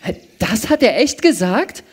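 A man's voice: high-pitched, very animated, a little slow, husky, voice pushed up, exaggerated voice. A man voicing a caring teenage girl, an outspoken and soothing friend, in a live radio play. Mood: indignant.

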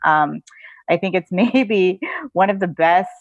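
A woman's voice: fairly low pitch, slow, no room sound. A woman giving a casual, chatty interview as a guest author, in a giggly manner. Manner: chatty, giggly asides mid-sentence. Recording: thin phone-like call audio.

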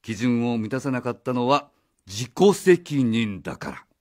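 A man playing a blunt, accusing outsider in a radio drama, confrontational and rough in his speech. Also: medium pitch, big pitch swings, a little slow. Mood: sarcastic, accusing. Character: blunt, accusing male outsider challenging authority. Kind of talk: Japanese radio drama acting. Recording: faint music behind the voice.

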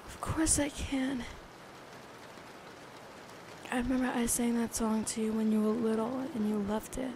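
A woman playing a gentle, protective big sister comforting a child to sleep in a soothing, hushed ASMR roleplay, close to the microphone. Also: on the high side, fairly even intonation, unhurried, pauses often, noisy background, lightly acted. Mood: soothing, tender.

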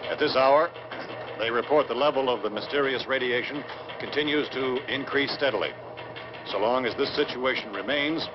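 Actor as grave American newscaster, dull old soundtrack. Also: male voice, mid-range voice, natural voice. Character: grave male TV newscaster reporting a ghoul crisis. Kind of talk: formal scripted 1960s news reading. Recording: dull old film soundtrack, music behind.

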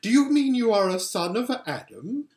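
Man putting on an imperious evil queen, swooping pitch. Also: big pitch swings, normal speed, a little mellow. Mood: haughty, demanding. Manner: commanding, emphatic, pompous. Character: haughty, imperious, menacing evil queen, adult woman. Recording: roomy indoor home recording.

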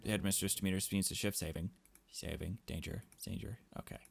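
Male let's play host, slightly deep, casual and jokey, exclamatory asides, music underneath. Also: steady tone, a little fast, creaky. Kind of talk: casual playthrough commentary, reading dialogue aloud.

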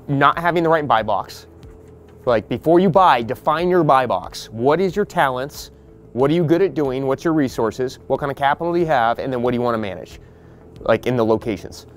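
A man, walking a group through a house he is flipping outdoors on a porch, talking bluntly and practically. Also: mid-range voice. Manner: blunt, practical, quoting others in quick asides. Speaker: real estate investor leading a property walkthrough. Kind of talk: casual explaining to a group on site.

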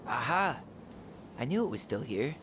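A man's voice: mid-range voice, sing-song, moderate pace, background noise, natural voice. An actor playing a blunt, wry, guarded young man with an American accent in video game dialogue, talking casually. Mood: soft, reflective. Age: young adult.

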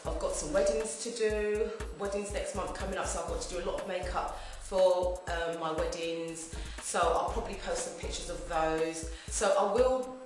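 A chatty woman in her forties talks to camera at home in a rambling, friendly way. Her fairly low voice sounds slightly hoarse from a throat infection. The room is very echoey, with faint music underneath. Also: normal speed. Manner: chatty and rambling, lively gestures. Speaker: wig review vlogger. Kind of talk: casual chat to camera. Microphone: camera at mid distance.

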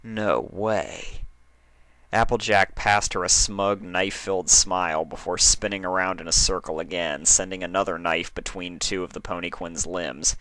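A man's voice: low voice, steady tone, moderate pace, few pauses, bright, forceful tone, no echo. A man reading a story aloud as its narrator, in scripted storytelling style. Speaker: fan fiction reader and narrator.

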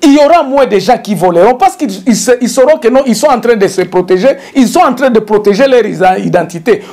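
A man's voice: very high voice, animated, fast, a little mellow. A middle-aged man, hosting a solo political commentary to camera in a small studio, talking emphatically and repeating words as he searches for them. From DR Congo. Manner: emphatic, gesturing, stammering repetitions. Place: small studio with dark backdrop.

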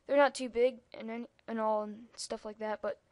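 A woman's voice: on the high side, level tone, normal speed, darkish tone, dry recording. A young-sounding female speaker giving a rambling, casual computer tutorial over a screen recording, with lots of ums and asides. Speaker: tutorial maker.

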